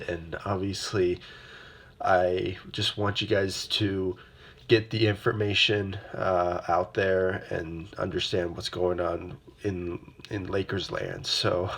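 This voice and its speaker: A young man hosting his own basketball fan channel, talking loosely with lots of fillers, his voice a bit rough from a sore throat. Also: deep voice, fairly bright tone. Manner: rambling, many fillers and repeated "obviously". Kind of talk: casual solo sports commentary.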